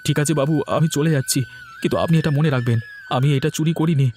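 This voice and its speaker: A man's voice: a little high, quick pace, darkish tone, close to an everyday voice. A man voicing an honest, humble shop worker in a cartoon, earnest and aggrieved as if wrongly accused. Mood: pleading, hurt. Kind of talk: animated cartoon dialogue. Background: music.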